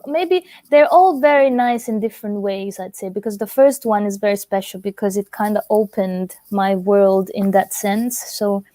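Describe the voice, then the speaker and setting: A woman in her twenties, raised in Italy, talks easily as an interview guest, filling her sentences with casual "like" and "kinda". Her voice is warm and mellow, and the recording is dry and close. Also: medium pitch, normal speed, hardly any pauses, no room sound. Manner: relaxed, chatty, many fillers like "like" and "kinda". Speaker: guest singer.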